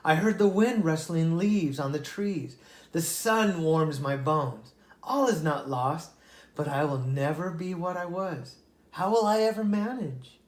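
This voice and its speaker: A reader voicing a small, unselfish young male mouse in a higher, earnest storybook voice. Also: unhurried, reverberant, lightly acted.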